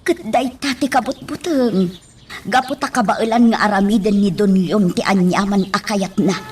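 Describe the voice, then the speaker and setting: A woman's voice: medium pitch, normal speed, distant mic, a little hiss or hum, lightly acted. An actress playing a guarded, anxious woman in an Ilocano radio melodrama. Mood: worried. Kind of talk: Ilocano radio drama acting.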